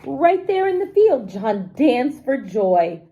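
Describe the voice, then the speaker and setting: A woman reading a children's story aloud expressively, pausing to explain things simply to young listeners. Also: sits high, dull audio. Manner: animated, unhurried, explains words simply to children.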